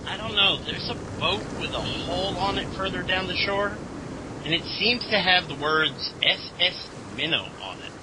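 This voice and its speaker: A man's voice: high-pitched, moderate pace, natural voice. A man playing a prim, wisecracking captive in a parody radio drama. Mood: prim, wry. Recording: faint background noise.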